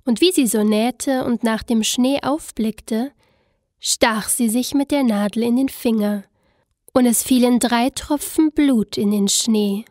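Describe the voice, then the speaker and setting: Unhurried woman storyteller, fairly high voice. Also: dry recording, clean studio sound. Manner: expressive, unhurried storybook telling. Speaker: storyteller on a fairy tale podcast. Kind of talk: scripted fairy tale narration.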